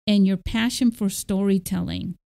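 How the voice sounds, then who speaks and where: Mellow-voiced enthusiastic woman host. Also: medium pitch, fairly even intonation, normal speed, gravelly. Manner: enthusiastic, with many restarts. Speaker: podcast host. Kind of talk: casual interview chat.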